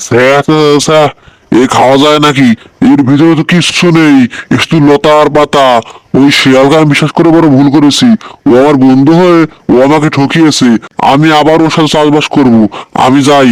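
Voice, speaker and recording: A man's voice: a little high, steady tone, a little fast, clear, resonant tone, no echo, lightly acted, low-quality recording. A man voicing a gullible, simple-hearted crocodile in a folk-tale cartoon, speaking plainly and earnestly. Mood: aggrieved. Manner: plain-spoken, naive, earnest. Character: gullible simple-hearted male crocodile, aggrieved at being cheated.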